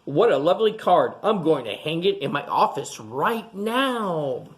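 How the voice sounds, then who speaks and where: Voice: medium pitch, big pitch swings, unhurried. A storytime reader voicing a gracious woman who runs a museum, exclaiming with delight.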